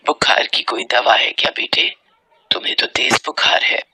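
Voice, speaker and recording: A man's voice: moderate pace, pushed and bright. A man voicing a loving, anxious old mother in a story, fretful and pleading over her son. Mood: worried fussing.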